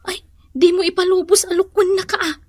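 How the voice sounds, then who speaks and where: An actress playing a wife's nosy confidante in a comedy radio drama. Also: female voice, very high-pitched, flat delivery, brisk, no room sound. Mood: nosy, advising.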